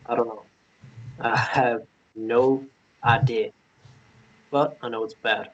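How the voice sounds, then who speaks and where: An actor playing a shy, apologetic, gentle young man in a romance table read, stammering and halting as he speaks. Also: mid-range voice, steady tone, dull audio. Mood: worried.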